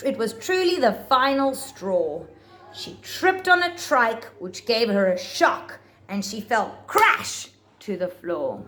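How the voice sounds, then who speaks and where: Lively, slow-paced woman reading a children's storybook. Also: medium pitch. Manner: theatrical, deliberate phrasing for young children. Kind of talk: expressive read-aloud of rhyming picture books.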